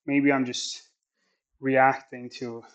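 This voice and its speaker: A man whose first language is Swedish, talking in English through a training session and reflecting on it in a slow, halting way. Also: medium pitch, flat delivery. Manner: slow, thoughtful, halting phrasing. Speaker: athlete training on camera. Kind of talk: reflective training vlog narration.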